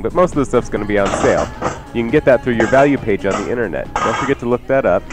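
A man co-hosting a live radio show with casual, folksy, plainspoken chat. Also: on the low side. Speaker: radio cooking show co-host and chef. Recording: music bed behind 1990s radio broadcast. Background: music.

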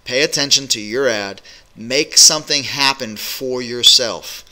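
A middle-aged man with a very bright, sharp voice gives business advice to his webcam. He talks directly and energetically, with frequent uhs and ums. He sits at a desk in a small home office. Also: medium pitch, unhurried, poor audio. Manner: energetic, direct, many fillers. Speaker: business coach.

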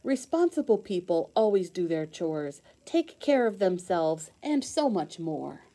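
A woman teacher reading a children's storybook aloud in an expressive sing-song way with clear emphasis. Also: fairly low pitch, a little slow.

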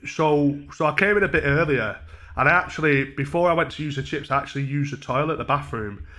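A man in his twenties with a Northern English accent, talking casually and mock-earnestly as a deadpan, hesitant prank caller at a desk microphone. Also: fairly high pitch, a little fast. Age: 20s. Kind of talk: casual prank phone call. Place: small room at home. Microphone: desk microphone on a stand.

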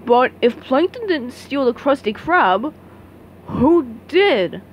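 A blustering older crab boss put on by a woman, greedy and piratical, pitched down from the performer's usual voice. It is a cartoon dub with faint background noise. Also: big pitch swings, takes it slowly, full character voice, rough recording. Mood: gruff. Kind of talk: amateur comic dub, cartoon voice acting.

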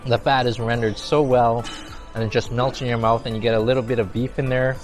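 A middle-aged man with a medium-pitched voice talks casually to camera like a food vlogger. He exclaims appreciatively over what he is eating, with music clearly playing behind him. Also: flat delivery, brisk. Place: large busy buffet restaurant, indoors. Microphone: clip-on lapel mic. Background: background music.

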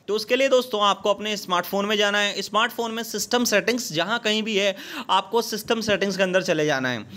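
Casting: young male tech tutor, high-pitched, earnest and repetitive, lapel mic. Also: sharp tone. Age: young adult. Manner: repetitive, earnest, addressing viewers as friends. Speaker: tech channel host. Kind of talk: phone tutorial with screen-recorded voice-over. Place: small dark indoor studio.

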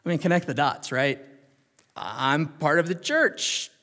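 A man delivering a sermon as a pastor, with a dramatic delivery that moves between hushed and emphatic. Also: on the high side, unhurried. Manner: dramatic, swinging from hushed near-whispers to loud emphatic declarations; rhetorical questions and check-ins with listeners. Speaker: pastor preaching. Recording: long hall reverb.

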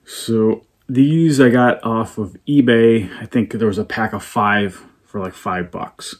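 Husky man muttering through a DIY job. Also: lowish voice, slow. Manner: relaxed, muttering step by step, often half-whispered. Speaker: fishing channel host. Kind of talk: casual how-to narration while working. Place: indoor room at a table.

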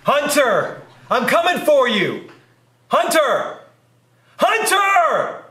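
A man's voice: very high-pitched, wildly swooping pitch, drawn-out words, pushed and bright, full character voice. A man playing an anxious, desperate friend on a rescue, dramatic and pleading, in an amateur student film. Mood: frantic shouting. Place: dim indoor school room. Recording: echoey room, clearly noisy, distant sound.

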